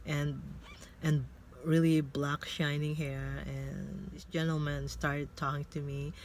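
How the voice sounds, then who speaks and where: A woman in her fifties, a Tagalog speaker, telling a personal story in a casual vlog. She talks in a hesitant, reflective way and pauses often as she thinks aloud. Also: sits low, level tone, darkish tone, a little hiss or hum. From Philippines. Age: 50s. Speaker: vlogger. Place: inside a car.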